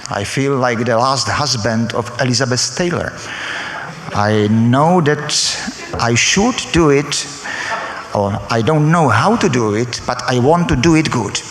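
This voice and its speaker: A man from Slovakia with a Slovak accent speaks on a conference panel, halting and full of filler sounds and restarts. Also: medium pitch, slight background noise. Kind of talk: academic panel talk. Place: conference hall. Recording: echoey hall recording.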